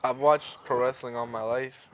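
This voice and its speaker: A young man from Massachusetts, a wrestling guest answering interview questions in a relaxed way, leaning on filler words. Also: mid-range voice, moderate pace, noisy background. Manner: relaxed, leans on "like" and "you know".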